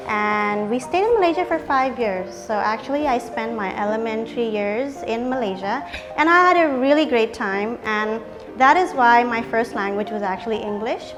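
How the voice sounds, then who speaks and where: A woman in her thirties from Indonesia, narrating her life story in a chatty, reflective voice-over, with fillers like "so" and "like". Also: fairly high pitch. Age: 30s. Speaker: vlogger. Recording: long reverb with music behind.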